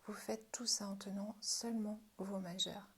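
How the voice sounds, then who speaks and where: Female wellness instructor, mid-pitched, breathy and often near-whispered, gently inviting listeners through a guided practice. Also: little pitch movement, moderate pace. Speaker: Jin Shin Jyutsu self-help instructor. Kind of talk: guided practice lesson, spoken informally.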